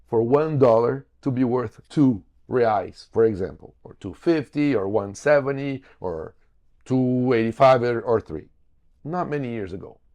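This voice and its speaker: A man's voice: medium pitch, a little mellow. A man from Brazil, a native Portuguese speaker, explaining things step by step as an interview guest. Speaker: podcast guest, financial business owner.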